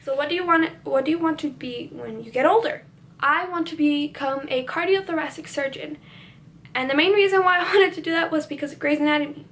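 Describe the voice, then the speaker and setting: A woman's voice: high-pitched, a little fast, a bit bright, slight background noise. A teenage girl whose first language was Spanish, chatting casually through a Q&A on her vlog, with lots of "like" and restarted sentences. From United States. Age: teens. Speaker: beauty vlogger.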